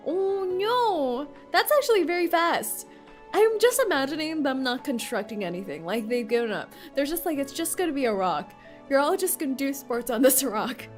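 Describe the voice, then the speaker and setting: Playful high-voiced woman streamer. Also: expressive, normal speed, faint music behind. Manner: playful, giggly, reacts to game dialogue. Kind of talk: casual gameplay commentary.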